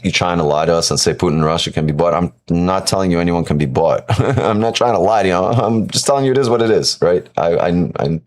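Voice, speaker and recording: A man's voice: deep voice, fast. A man from Ukraine hosting a live stream, talking casually and emphatically while answering chat, often repeating himself and adding "right?". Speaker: live-stream trading host.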